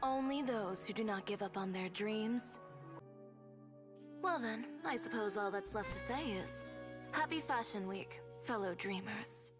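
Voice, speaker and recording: An actress plays a composed, proud fashion designer from a fantasy game, her voice sitting at an ordinary middle pitch. She speaks in an unhurried, measured way. Game music plays steadily underneath. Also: lightly acted. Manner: calm, composed, measured delivery. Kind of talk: game cutscene voice acting.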